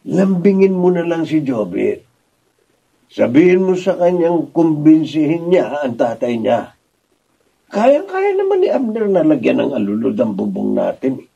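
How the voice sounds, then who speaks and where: A tight-fisted, wheedling Filipino father in a radio drama. His voice is soft-edged and husky, with lively pitch. It sounds dull and some way from the microphone. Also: measured pace, exaggerated voice. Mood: sly, unbothered. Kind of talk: Tagalog radio drama acting. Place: radio drama recording studio, distant camera. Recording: muffled, picked up from a distance.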